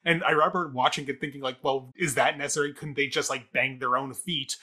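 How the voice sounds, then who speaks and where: A man's voice: mid-range voice, steady tone, quick pace, bright, forceful tone. A man co-hosting a theatre review podcast, talking casually and thinking aloud in long, winding sentences.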